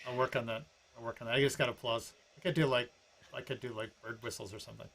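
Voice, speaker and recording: Man host, gravelly, fast talk, joking, casual video call. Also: medium pitch, steady tone, a bit bright. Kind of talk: casual chat on a live stream.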